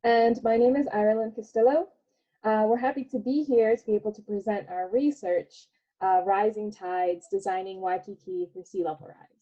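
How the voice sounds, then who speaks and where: A woman's voice: mid-range voice, fairly even intonation, normal speed. A young woman from Hawaii presenting as a graduate student in an informal style over an online call. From Hawaii, United States. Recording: dull, lo-fi online call audio.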